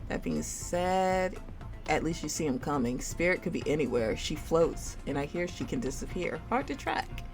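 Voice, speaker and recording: A woman with a slightly low voice reads a dating game story aloud like a campfire storyteller. Her delivery is theatrical, moving between narration and character lines. Music plays behind her. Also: sing-song, normal speed, darkish tone. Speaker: streamer reading a visual novel game aloud. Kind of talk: dramatic storytime reading of game dialogue, voicing characters.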